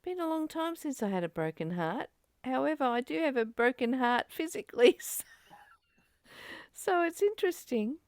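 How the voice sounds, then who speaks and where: Woman, spiritual study host, creaky higher voice, earnest, reflective questions, close dry sound. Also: very animated, moderate pace, darkish tone, dry recording. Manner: earnest, uses rhetorical questions. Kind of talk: informal spiritual teaching and discussion.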